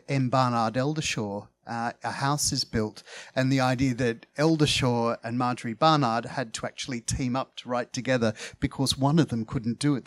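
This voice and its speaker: A man in a literary panel discussion, erudite and searching for his words as he builds up long, considered thoughts. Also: medium pitch.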